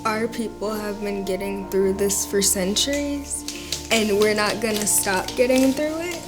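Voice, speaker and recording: A woman's voice: mid-range voice, measured pace. A young American woman speaking earnestly about her own experience. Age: young adult. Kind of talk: earnest personal testimony. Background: music.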